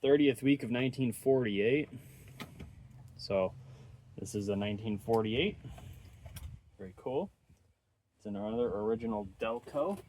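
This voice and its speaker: A man's voice: medium pitch, expressive, unhurried, long pauses, a little mellow, slight background noise. A man giving casual, hands-on repair commentary as a host, musing aloud in a laid-back way. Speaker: host of an electronics repair channel.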